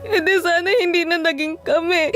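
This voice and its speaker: A woman's voice: very high voice, fairly even intonation, normal speed, fairly bright tone, faint music behind. A woman with a Filipino accent acting a heartbroken young woman in an audio drama. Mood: heartbroken, tearful. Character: heartbroken young woman betrayed by her boyfriend.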